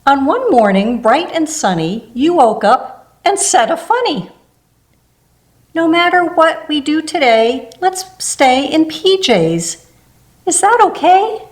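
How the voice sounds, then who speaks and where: A woman reading a rhyming children's picture book aloud in a gentle storybook manner. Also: high-pitched, very animated, slow pace.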